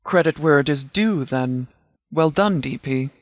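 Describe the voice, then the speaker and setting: A man voicing a composed video game law officer who speaks formally and precisely, with a dry, deadpan manner. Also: fairly high pitch, measured pace, dry recording, close to an everyday voice. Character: composed, formal male sheriff's department commander, strategic and dry. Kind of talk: video game dialogue voice lines.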